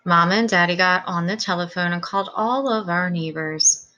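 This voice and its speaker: A woman's voice: lowish voice, moderate pace, pushed and bright, muffled recording. A woman reading a children's story aloud as scripted narration.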